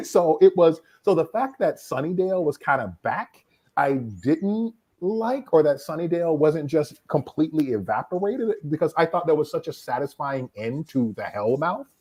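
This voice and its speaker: Animated man chatting as a panelist. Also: on the high side, moderate pace, slightly rough. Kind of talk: casual panel discussion.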